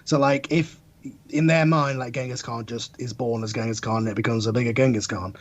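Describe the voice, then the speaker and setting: A man's voice: medium pitch, fairly even intonation, a little fast, highs cut off. A man speaking as a podcast guest, giving analytical, wry opinions in casual discussion.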